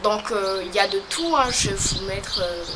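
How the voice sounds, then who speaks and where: A young woman from French Guiana, talking casually to camera as a vlogger, hesitant and full of pauses. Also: mid-range voice, heavy background noise. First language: a local creole or regional language. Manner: hesitant, many pauses and fillers.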